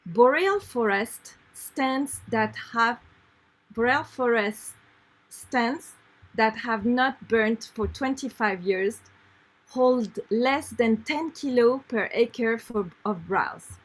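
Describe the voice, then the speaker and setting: A woman reading an article aloud in a measured, unrehearsed way, repeating phrases when she stumbles. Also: fairly high pitch, poor audio. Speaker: reader.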